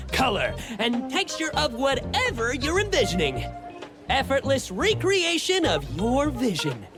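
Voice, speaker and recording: A woman's voice: fairly high pitch, full character voice. A woman playing a chirpy young toy shop clerk in an anime-style game, with effusive sales patter. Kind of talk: animated anime game voice acting. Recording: music behind the voice.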